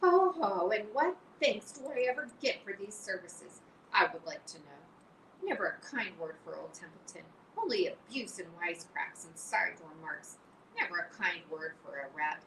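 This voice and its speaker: Woman doing a sarcastic, whining rat for an audiobook; lively, mocking, echoey and distant. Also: lowish voice, moderate pace. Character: grumbling, lazy, self-pitying male rat. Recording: roomy, distant mic, faint hiss.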